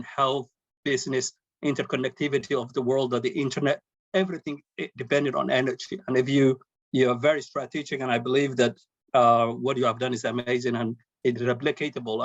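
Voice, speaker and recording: A man asks an earnest question, halting and restarting often. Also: mid-range voice, no room sound. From Somalia. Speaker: audience member asking a question. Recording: dull lo-fi video call audio.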